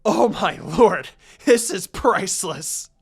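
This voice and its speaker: A man voicing a brash, good-hearted boy ninja who speaks in a blunt, excitable way. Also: sits high, slow pace, gravelly, slightly rough, exaggerated voice. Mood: gleeful.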